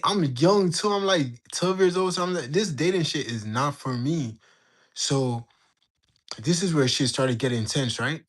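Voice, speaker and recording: A young man in his late teens, hosting a livestream and telling a story in loose, slangy talk. His voice sits a little high for a man. He keeps turning to answer his chat in a lively back-and-forth. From Jamaica. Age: young adult. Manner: animated, slangy, bantering with chat. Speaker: livestream host. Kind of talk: casual storytelling to live chat.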